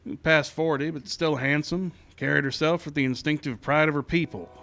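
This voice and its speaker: An actor playing a steady, firm frontier marshal who also narrates, in old-time radio western style. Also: male voice, on the high side, brisk. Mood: measured narrating. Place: live stage show in a park.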